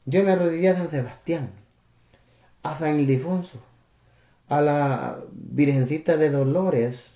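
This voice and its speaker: Salvadoran pastor preaching, warm and echoey. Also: male voice, on the high side, unhurried, long pauses. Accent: Salvadoran Spanish. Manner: conversational preaching with rhetorical questions and asides to listeners. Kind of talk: preaching on a live stream.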